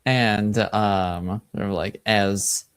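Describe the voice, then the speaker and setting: Man, game master, improvised tabletop narration, halting, conversational, fairly low pitch. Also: steady tone, clean tone, dry recording, clean studio sound.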